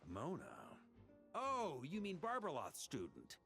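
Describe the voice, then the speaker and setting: A man voicing a resolute, composed young hero with a measured, deliberate delivery in a video game. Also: a little high, wildly swooping pitch, music in the background, full character voice. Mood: curious.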